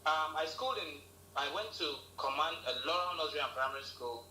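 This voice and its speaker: A man with a Nigerian accent telling his life story as an interview guest, animated and repeating words, laughing often. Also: a little high, a little fast, reverberant, crowd noise, rough recording. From Lagos, Nigeria.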